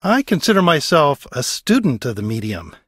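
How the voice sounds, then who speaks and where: A man's voice: on the high side, sing-song, normal speed, fairly bright tone, no echo, clean studio sound. An actor voicing an earnest, pretentious man in a video game, speaking pompously. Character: earnest, pretentious devotee of reality television. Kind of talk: video game voice acting, confessional.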